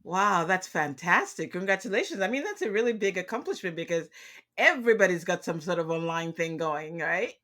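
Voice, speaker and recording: A man's voice: sits high, lively intonation, fairly quick, pushed and bright. A man from Nigeria hosting a podcast interview, chatty and upbeat. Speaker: podcast host. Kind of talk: casual interview.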